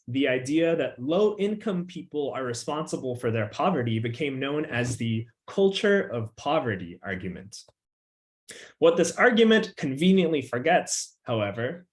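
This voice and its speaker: A young man, a featured poet, who talks in a relaxed, loose way with plenty of filler words and reads his poems with an emphatic, rising refrain. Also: on the high side. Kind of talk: casual chat and poetry reading.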